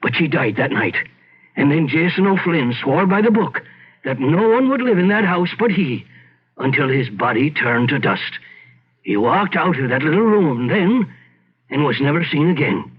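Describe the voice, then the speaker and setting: A grave older gentleman tells a dark legend in a vintage radio play. His voice is rough and slightly high, his delivery solemn. The recording is dull and old. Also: big pitch swings, normal speed. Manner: solemn storytelling. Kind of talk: old-time radio drama acting.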